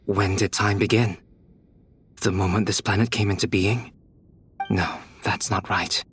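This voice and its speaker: An actor playing a composed, analytical male scholar pondering aloud in a video game, with a husky voice. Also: fairly low pitch, fairly even intonation, measured pace, a bit bright, heavily acted.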